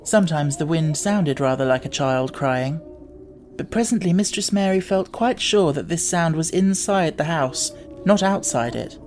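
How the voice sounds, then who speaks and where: A man's voice: a little high, moderate pace, a little mellow. A man reading a children's novel aloud as scripted audiobook narration.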